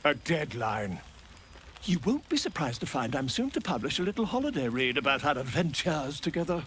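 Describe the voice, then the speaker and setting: Pompous game bard, flowery and matey, bright high voice, super theatrical. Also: male voice, very animated, normal speed, a little hiss or hum. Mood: jovial, self-satisfied. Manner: theatrical, florid, grandiloquent storytelling. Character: flamboyant, self-important male bard and chronicler, florid and chummy. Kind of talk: video game character acting.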